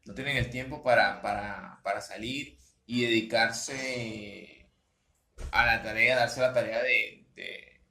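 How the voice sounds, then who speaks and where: A man from Latin America co-hosts an easygoing podcast chat, his voice gravelly with fry yet bright. He repeats words as he searches for the next thought. The sound bounces around an echoey room. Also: lowish voice, slow pace, a little hiss or hum. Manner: repeats words while thinking. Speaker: podcast co-host, English teacher.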